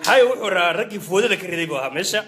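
A man's voice: sits high, very fast, a crowd in the background. A man co-hosting a political comedy chat, teasing his partner and cutting in with short agreements. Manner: frequent short "ah" backchannels, animated teasing. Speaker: co-host. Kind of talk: joking political banter in Somali.

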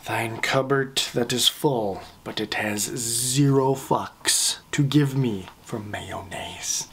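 A man in his thirties telling a comic story at home to a close camera, animated and profane, jumping into whispers and mock-grand phrasing. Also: medium pitch, a little hiss or hum. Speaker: vlogger. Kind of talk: comedic storytelling rant. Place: living room at home, indoors. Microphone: camera close up at face level.

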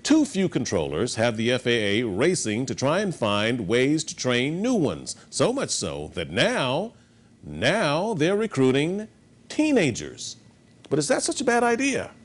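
Animated male news host. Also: mid-range voice, measured pace. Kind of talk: news presenting and interviewing.

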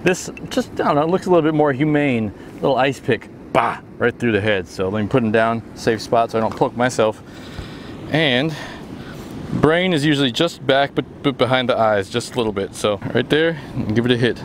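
A man's voice: mid-range voice, lively intonation, normal speed. A man in his thirties chatting casually and informally as a fishing vlogger, with slangy exclamations. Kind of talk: casual outdoor vlog chat. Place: outdoors on rocky seashore. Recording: outdoor ocean surf noise.

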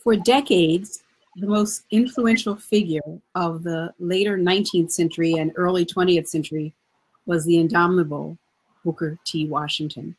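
A woman's voice: fairly low pitch, steady tone, normal speed, breathy edge, low-quality recording. A middle-aged woman presenting scripted biographical narration over a video call. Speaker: presenter introducing readings. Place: room indoors, on a video call.

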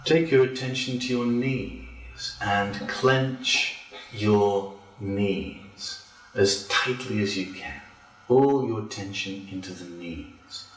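A man leading a guided relaxation as a yoga instructor, speaking deliberately with pauses between phrases. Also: on the low side, a bit bright. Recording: roomy echo, distant from mic.

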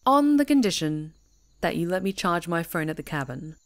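A woman voicing a friendly young woman camping alone on a retreat, chatty and teasing and quick to laugh, in a scripted audio roleplay. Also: lowish voice, sing-song. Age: young adult. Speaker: ASMR roleplay performer.

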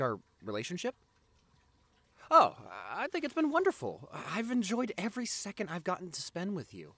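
A young man playing an affectionate, excitable vampire boyfriend in an intimate audio roleplay, gushing and stammering. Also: fairly high pitch. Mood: tender, content. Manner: gushing, stammering, repeats himself.

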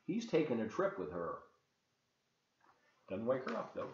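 A man in his seventies reads to children as a volunteer storyteller, pausing to explain as he goes. His delivery is expressive, with a widely swinging, mid-pitched voice. The webcam sound is roomy and a bit dull. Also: a little hiss or hum. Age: 70s. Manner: expressive, explains pictures to listeners. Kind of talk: read-aloud storytelling for children with asides. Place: large open kitchen and living room, indoors. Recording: echoey room, slightly dull webcam sound.

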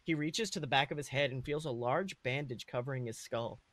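A man's voice: medium pitch, brisk, fairly bright tone, no room sound. A man co-hosting a podcast, reading and discussing his own comic fan fiction. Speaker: podcast co-host.

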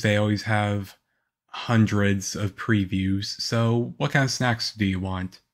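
A man playing a shy, nervous teenage-looking vampire boyfriend in an ASMR roleplay, halting and stammering awkwardly. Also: fairly low pitch, natural voice. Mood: polite, eager. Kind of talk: ASMR audio roleplay, one-sided conversation.

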